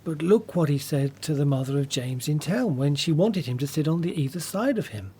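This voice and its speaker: A man's voice: on the high side, lively intonation, fairly quick, dark tone, slightly rough. A man playing a devoted, guileless disciple in a radio drama, with a plain, questioning way of talking. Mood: sincere.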